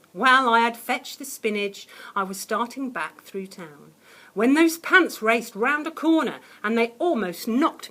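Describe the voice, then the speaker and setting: A woman reads a picture book aloud to children in a high voice that swoops expressively from line to line. She takes her time, with slow, dramatic phrasing that moves between hushed suspense and stronger emphasis. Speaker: primary school teacher reading a story to children.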